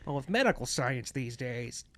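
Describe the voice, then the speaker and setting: A man's voice: mid-range voice, big pitch swings, moderate pace, crowd noise. A young man talking casually and conversationally on a podcast.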